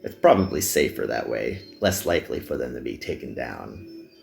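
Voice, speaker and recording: A man's voice: a bass voice, moderate pace, vocal fry, over music. A man hosting a visual novel playthrough with casual, jokey commentary, often interrupting himself. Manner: jokey, animated, self-interrupting. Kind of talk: casual reading and joking commentary.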